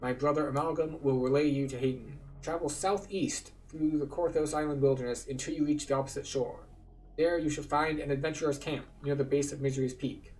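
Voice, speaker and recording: A man acts out an earnest fantasy game quest-giver. The delivery is measured and solemn, a little away from the mic with faint noise. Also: fairly high pitch, steady tone, darkish tone, far from the mic, close to an everyday voice, poor audio. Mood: solemn, instructive. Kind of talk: read-aloud fantasy game dialogue.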